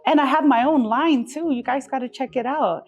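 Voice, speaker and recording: A woman from Jamaica, giving casual, animated and emphatic interview answers as a music artist. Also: high voice, moderate pace. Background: background music.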